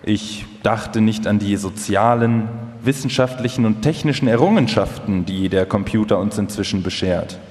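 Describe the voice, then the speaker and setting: An actor playing an elderly, reflective German inventor in a documentary radio feature, speaking slowly and deliberately. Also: male voice, lowish voice, a little mellow, close to an everyday voice. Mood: reflective, measured. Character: elderly male German computer pioneer, reflective inventor and painter. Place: large hall, live performance. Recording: live hall with long reverb.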